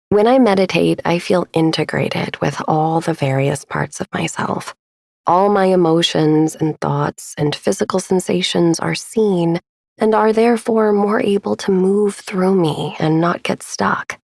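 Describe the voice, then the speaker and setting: A woman hosting a sleep meditation podcast, speaking in a gentle, unhurried, intimate way as she narrates soothingly. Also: fairly low pitch, vocal fry, no echo, studio quality. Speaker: podcast host and meditation guide.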